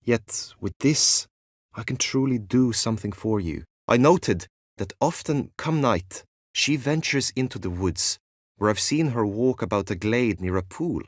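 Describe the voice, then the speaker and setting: A man's voice: lowish voice, lively intonation, unhurried, no room sound, clean studio sound. A man playing an earnest, lovestruck young knight in a fantasy video game, speaking in formal, archaic, courtly phrases. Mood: wistful, earnest. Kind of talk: video game voice acting, medieval fantasy drama.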